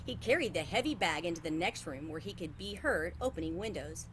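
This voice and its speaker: A woman's voice: on the low side, animated, brisk, a bit bright, slight background noise. A woman reading a children's book aloud expressively as a storyteller.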